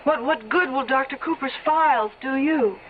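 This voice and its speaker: An actress playing a hesitant, protesting office worker in an old-time radio mystery. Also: female voice, high-pitched, moderate pace, lightly acted. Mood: puzzled. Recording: dull old radio recording, faint noise.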